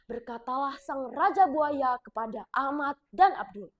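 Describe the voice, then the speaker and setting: High-voiced female folk tale storyteller. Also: lo-fi sound. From South Sumatra, Indonesia. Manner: clear, expressive, measured narration.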